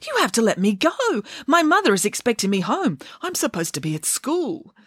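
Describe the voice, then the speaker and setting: A woman's voice: mid-range voice, big pitch swings, moderate pace, fairly bright tone, dry recording, pitched above the performer's own voice. A woman voicing a naive, whiny little puppet boy from a children's storybook, childlike and wailing in manner. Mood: wailing, pleading.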